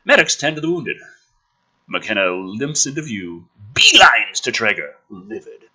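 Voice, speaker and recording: A man's voice: mid-range voice, sing-song, a little slow, sharp tone, slightly rough. A man reading a script dramatically and breaking off into sarcastic, exasperated, sweary commentary as the host.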